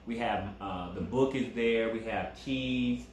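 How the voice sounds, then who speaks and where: A man co-hosting a casual couple chat, relaxed and rambling, with fillers and repeated phrases. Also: lowish voice, takes it slowly. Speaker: co-host. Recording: very echoey room with faint background noise.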